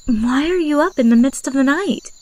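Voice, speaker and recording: A woman playing a devout, calm and reassuring wife of a druid in a fantasy audio drama. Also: high voice, normal speed. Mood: soothing.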